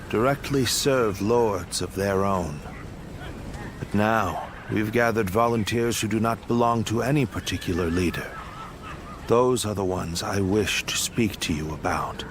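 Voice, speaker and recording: An actor playing an earnest, honorable army commander in a video game, speaking in a measured, sincere way. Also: male voice, lowish voice, background noise, natural voice. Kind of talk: English video game dub acting. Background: music.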